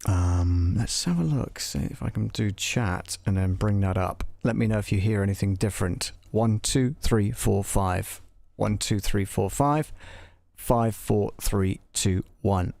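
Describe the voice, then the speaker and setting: A man hosting a live software walkthrough, talking casually and tentatively, thinking aloud as he tests things. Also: on the low side, measured pace, vocal fry, no room sound. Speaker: livestream host demonstrating audio software.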